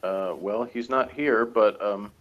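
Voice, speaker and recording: A man chatting casually as a co-reviewer, halting and often trailing off mid-thought. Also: on the low side, dull audio. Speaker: co-reviewer of a TV episode. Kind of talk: casual chat.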